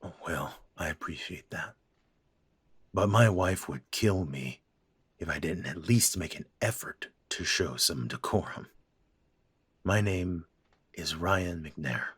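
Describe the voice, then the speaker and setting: A man voicing a gruff middle-aged father in an audio drama, his voice low and rough with a husky grain. He is stiff and clipped, uneasy with his grown son. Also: animated, slow, long pauses, fairly bright tone, no echo, full character voice. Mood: awkward. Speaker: voice actor.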